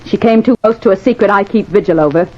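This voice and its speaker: A woman's voice: mid-range voice, fast talker, lightly acted. A woman playing a vengeful, possessive ghost bride in an old-time radio horror drama, imperious and taunting. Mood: cruel taunting. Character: vengeful, possessive, haughty female specter bride. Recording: muffled, noisy old radio recording, long reverb.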